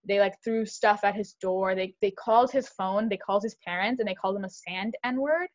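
A young woman co-hosting a podcast, talking fast and animated in casual chat, with lots of "like". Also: medium pitch, lo-fi sound. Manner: fast, animated, heavy use of "like". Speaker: podcast co-host.

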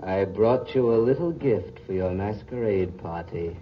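An actor playing the vengeful ghost of a murdered man in 1940s radio horror style, speaking in a deliberate, taunting and ominous way. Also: on the low side, lively intonation. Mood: eerie taunting. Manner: slow, deliberate, ominous delivery. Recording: dull old 1940s radio recording, music behind.

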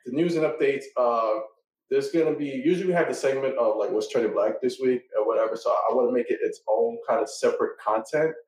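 A man's voice: medium pitch, fairly quick, a little hiss or hum. Laid-back male podcast host. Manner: relaxed, lots of fillers. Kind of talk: casual podcast chat. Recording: echoey room, mic at a distance.

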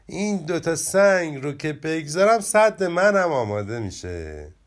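Man doing bragging storybook rabbit kid, cocky and dismissive, bright lively voice. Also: a little high, pitched above the performer's own voice. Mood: boastful. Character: boastful young rabbit friend, cocky and dismissive.

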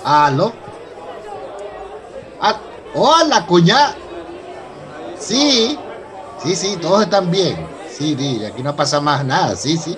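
A man's voice: fairly high pitch, big pitch swings, slow pace, long pauses. A man playing a chatty, gossipy character with a Venezuelan accent in a radio soap opera. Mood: cheerful. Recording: busy crowd background.

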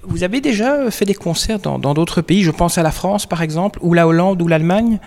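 A male podcast host asks a guest friendly, playful questions and closes with a sign-off to listeners. His voice sits high for a man. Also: a little fast, gravelly, darkish tone. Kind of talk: interview questions and podcast outro.

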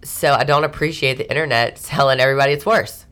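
A woman's voice: extremely low pitch, quick pace, fairly bright tone. A woman hosting a radio show in a studio, chatting bluntly and teasing her co-hosts, laughing often. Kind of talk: casual radio banter.

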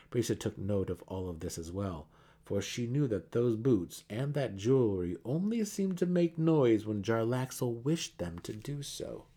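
Male narrator, low voice, theatrical fantasy audiobook reading, emphatic delivery. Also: warm tone, breathy edge. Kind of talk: dramatic fantasy novel reading.

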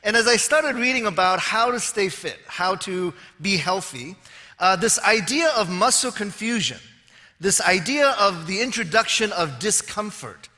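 Man in his fifties, high bright voice, wry jokes, sermon in a big hall with long reverb. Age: 50s. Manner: wry, joking asides to the audience. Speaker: preacher. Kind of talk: sermon with self-deprecating humor. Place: large auditorium with long reverb.

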